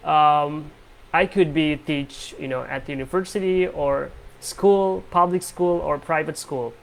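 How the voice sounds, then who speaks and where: A man's voice: on the high side, unhurried, vocal fry, poor audio. A young man from Indonesia with an Indonesian accent, hosting a casual English practice video call and speaking hesitantly with many fillers. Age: young adult.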